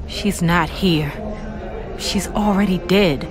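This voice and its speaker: A woman's voice: on the low side, slow. An actress playing a guarded, troubled woman in her thirties, speaking tersely and cryptically in a sci-fi audio drama. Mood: guarded, uneasy. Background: music.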